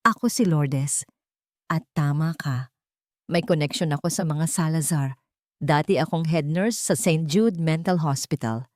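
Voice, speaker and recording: A woman's voice: deep voice, a little slow, darkish tone, dry recording, clean studio sound. A woman voicing a tired, grave elderly former nurse in an audio romance drama, confiding in a weary voice. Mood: heavy, confessing. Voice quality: weary, trembling.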